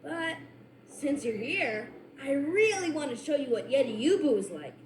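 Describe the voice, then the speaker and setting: Eager cartoon boy ranger, high and springy. He barks upbeat gadget commands. The sound is roomy and set back from the mic, with loud music behind. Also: lively intonation, moderate pace, distant mic, slight background noise. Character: cheerful young boy park ranger.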